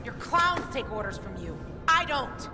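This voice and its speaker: A woman's voice: high voice, big pitch swings, a little slow. An actress playing a tough, blunt, bitter young woman fighter in a video game. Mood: defiant. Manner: blunt, defiant. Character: tough, foul-mouthed, bitter female fighter in a ruined world. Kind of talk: cinematic video game acting. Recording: dramatic game music behind.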